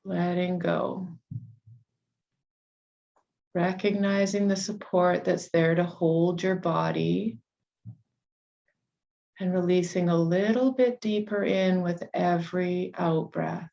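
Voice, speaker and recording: A woman leading a guided meditation, giving soothing, unhurried instructions with long pauses between phrases. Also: lowish voice, steady tone, vocal fry, dark tone, muffled recording.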